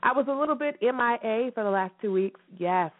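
A woman hosting a radio show in a lively, chatty way, her voice slightly hoarse and raspy from a recent cough. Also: on the high side, a little fast. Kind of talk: casual radio chat. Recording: phone-line call-in radio.

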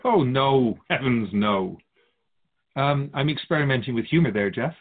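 A man playing a wise, curious otherworldly spirit guide in a radio drama, musing formally with gentle wry humour. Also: mid-range voice, animated, moderate pace, lightly acted. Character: Keeper of Souls Purpose, wise curious otherworldly being. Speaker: spirit guide being interviewed. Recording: muffled phone-like narrow band.

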